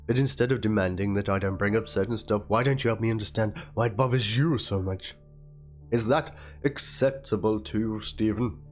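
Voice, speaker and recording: Guy w a lowish voice doing a theatrical dramatic read of chat msgs, bit of music behind him. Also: moderate pace. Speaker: narrator reading aloud. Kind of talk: dramatic reading of a written chat log and document. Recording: music under the voice. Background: instrumental background music.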